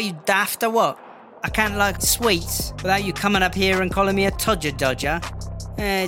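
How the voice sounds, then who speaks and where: Man as brash high-voiced English jailbird woman. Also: lightly acted. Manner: blustering, chatty, slangy. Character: brash, foul-mouthed English female prison inmate and rapper. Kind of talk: mockumentary interview in comedy audio drama. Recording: music under the voice.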